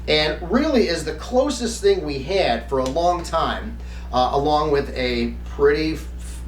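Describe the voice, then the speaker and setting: A middle-aged man hosting a review in an earnest, emphatic way, speaking to camera in a semi-scripted style. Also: fairly high pitch. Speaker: host, tabletop game reviewer. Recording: roomy, distant mic, faint background noise.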